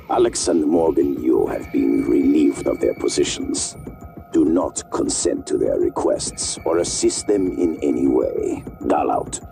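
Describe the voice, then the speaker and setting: Stern game commander, extremely low gravelly voice, formal, authoritative, music behind. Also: male voice. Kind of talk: broadcast announcement in video game.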